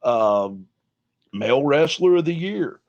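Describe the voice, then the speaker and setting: A man's voice: mid-range voice, lively intonation, slow pace. A man co-hosting a casual wrestling talk show, folksy and drawn out in his delivery. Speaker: podcast co-host. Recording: dull lo-fi sound.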